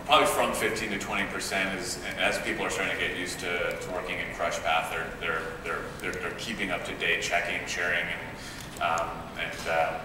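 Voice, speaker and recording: A man talking casually as a tech executive on a panel, informal and somewhat halting. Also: deep voice, level tone. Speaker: tech company CEO, panelist. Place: conference panel stage in a large hall. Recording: echoey hall, distant mic, loud room noise.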